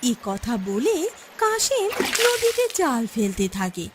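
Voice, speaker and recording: A woman's voice: high voice, huge pitch swings, unhurried, noisy background. A woman giving scripted narration for a moral-story cartoon. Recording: loud music bed behind the voice.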